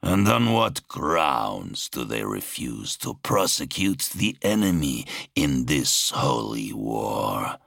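A monstrous warlord from a dark science-fiction audiobook, acted by a man. The voice is very deep and crackles with fry. It drawls slowly and deliberately, imperious and coldly menacing. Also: sing-song, no echo, clean studio sound, full character voice. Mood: imperious displeasure.

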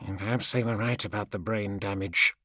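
A man voicing a calm, imperious, sardonic commander in a story, with a wry, pompous, measured delivery. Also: medium pitch, breathy edge, no echo, clean studio sound, full character voice. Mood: impatient.

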